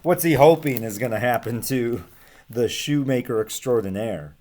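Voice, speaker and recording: A young man reading a children's storybook aloud in an expressive, deliberate way. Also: mid-range voice. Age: young adult. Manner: animated, deliberate, asks listeners questions. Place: small room with bookshelves.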